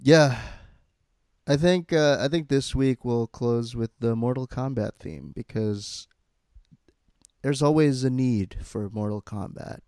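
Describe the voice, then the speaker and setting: A man hosting a podcast in casual, halting chat peppered with profanity. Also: medium pitch, a little mellow, no room sound, studio quality. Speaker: podcast host.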